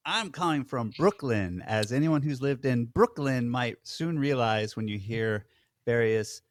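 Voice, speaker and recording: A man's voice: medium pitch, lively intonation, measured pace, dry recording. A young adult man chats as a podcast co-host in an ordinary mid-range voice. He sounds friendly and self-deprecating, peppering his talk with fillers. The recording is dry and close. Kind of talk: casual chat over a video call.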